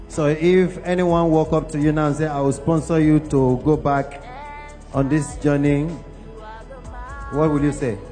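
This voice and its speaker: Male interviewer, mellow tone, short questions. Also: on the high side, flat delivery, measured pace, soft background music. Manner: brief, questioning.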